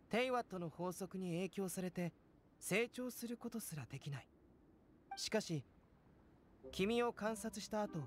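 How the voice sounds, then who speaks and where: Composed young male alchemist, lighter high register, measured scholarly delivery for a fantasy game. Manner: measured, composed, philosophical explaining. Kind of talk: Japanese anime game voice acting.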